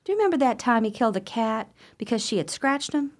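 A woman acting an unmarried, gossipy sister in an old-fashioned gothic radio drama, with a deliberate, formal and dramatic delivery. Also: fairly high pitch, sing-song. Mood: ominous.

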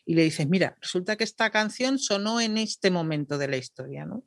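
A woman's voice: lowish voice, animated, a little fast, creaky, no room sound, clean studio sound. A woman, a radio announcer and podcaster, chatting casually as a guest and laughing at herself. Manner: chatty, self-deprecating, laughing. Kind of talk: casual interview chat.

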